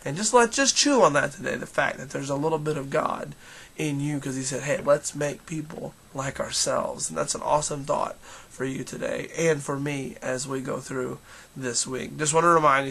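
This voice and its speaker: A man talks to his viewers in a casual, encouraging devotional vlog, his voice hoarse and gravelly. It sits a little high and bright. He keeps restarting and filling gaps as he goes. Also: fairly even intonation, moderate pace. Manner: earnest, encouraging, with many restarts and fillers. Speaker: church leader giving a daily video devotional.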